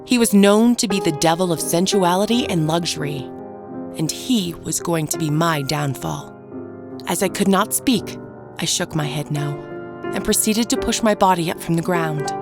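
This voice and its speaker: A woman plays a wronged village wife recounting her dark fantasy story in the first person. Her voice sits fairly low, solemn and deliberate with a bitter edge, over clearly audible music. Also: lightly acted. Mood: grave, wary. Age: middle-aged.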